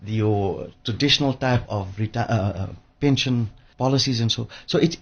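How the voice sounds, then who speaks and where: A man speaking as an expert radio guest, emphatic and repeating phrases for effect, with a husky voice. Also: mid-range voice, moderate pace. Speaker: interview guest, pensions industry expert. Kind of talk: radio interview. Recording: dull lo-fi radio audio.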